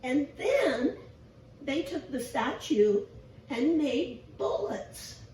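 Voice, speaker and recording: Woman lay preacher, squeaky and raspy, self-deprecating, slow delivery, distant echoey church recording with faint hiss. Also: very animated, darkish tone. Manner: chatty, self-deprecating, asks the congregation questions. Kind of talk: informal sermon. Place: church sanctuary.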